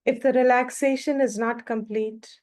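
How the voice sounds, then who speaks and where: A woman leading a guided meditation as a teacher, speaking calmly in short phrases with long pauses between them. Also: fairly high pitch.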